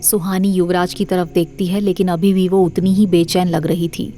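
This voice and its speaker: Low, even-toned woman narrating horror. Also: normal speed, soft background music. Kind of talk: scripted horror story narration.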